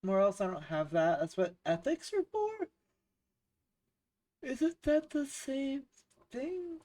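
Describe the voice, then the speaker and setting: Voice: high voice, lively intonation, slow pace, darkish tone, no room sound, distant mic. A streamer getting over a cold and sounding sniffly, chatting casually with viewers during a live game stream, swearing freely and laughing often. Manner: profane, joking, laughs often, reads chat aloud.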